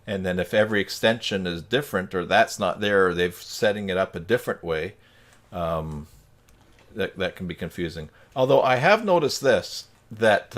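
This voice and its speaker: A man in casual conversation on a group video call, thinking aloud in a rambling, tentative way. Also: on the low side. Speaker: participant in a web tutorial livestream.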